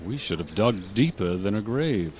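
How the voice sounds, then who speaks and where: A man's voice: fairly low pitch, lively intonation, moderate pace, darkish tone, a little hiss or hum, natural voice. A man playing a dry, commanding army major investigating a crime in a radio drama. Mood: grim, authoritative. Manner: dry, authoritative.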